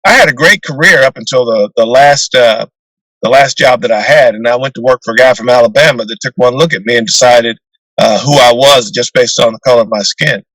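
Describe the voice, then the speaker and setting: An older man with a deep voice, a retired naval officer answering interview questions in a measured way with fillers and restarts, over a video call. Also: fairly bright tone, no echo, low-quality recording.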